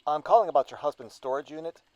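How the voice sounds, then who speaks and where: A man's voice: fairly high pitch, fast talker, a little mellow, no echo, close to an everyday voice. A man playing a formal, businesslike storage company employee on a phone call in an audio drama. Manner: formal, procedural. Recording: dull, band-limited phone-call sound.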